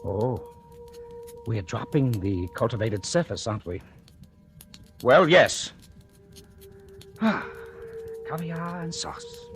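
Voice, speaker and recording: An actor playing a jealous, falsely genial scientist husband in 1940s radio drama style. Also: male voice, medium pitch, animated, slow, long pauses, faint music behind.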